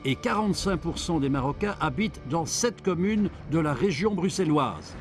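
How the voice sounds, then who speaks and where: Male documentary narrator, higher register, ironic edge, clear music bed underneath. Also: expressive, normal speed. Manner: wry, ironic. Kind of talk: scripted investigative narration.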